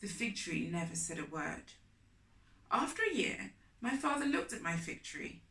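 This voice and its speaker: A woman reading aloud as a children's storytime host, with deliberate, emphatic, expressive phrasing. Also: medium pitch. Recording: roomy echo, distant microphone.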